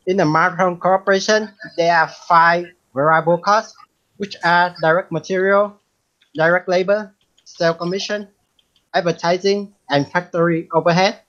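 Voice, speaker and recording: A man's voice: high voice, steady tone, normal speed, fairly bright tone. A man from Vietnam giving a class presentation, reading his part steadily. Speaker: student presenter. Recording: dull lo-fi sound.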